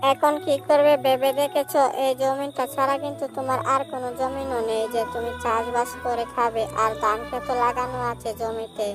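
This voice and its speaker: An actress voicing a farmer's practical, worried wife in a cartoon who speaks urgently and gives advice. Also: female voice, sits high, completely flat delivery, a little fast, hardly any pauses, natural voice. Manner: urgent and advising. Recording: background music.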